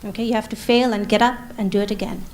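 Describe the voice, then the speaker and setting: A woman's voice: mid-range voice, fairly even intonation, fast talker, darkish tone. Earnest woman in her forties. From Bangladesh. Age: 40s. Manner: earnest, emphatic, repeats key phrases. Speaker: panelist, social entrepreneur. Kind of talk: panel talk.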